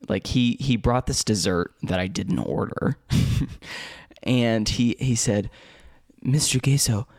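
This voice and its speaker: A man's voice: medium pitch, slow, vocal fry, darkish tone, no echo, studio quality. A young American man co-hosting a podcast, telling stories casually with lots of fillers and laughs. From United States. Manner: storytelling, chuckling often, many fillers and restarts. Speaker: podcast co-host. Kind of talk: casual chat.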